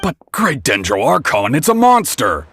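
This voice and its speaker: A gruff, bright-voiced guard. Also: male voice, mid-range voice, wildly swooping pitch, normal speed, slight background noise. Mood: alarmed. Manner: barking warnings, then deferential. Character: stern male guard, gruff and protective. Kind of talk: game cutscene voice acting.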